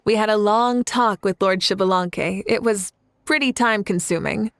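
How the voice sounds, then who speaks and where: A woman's voice: medium pitch, moderate pace, fairly bright tone, dry recording, lightly acted. A woman playing a confident, benevolent warrior leader in an anime game, composed and encouraging. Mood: calm, admiring.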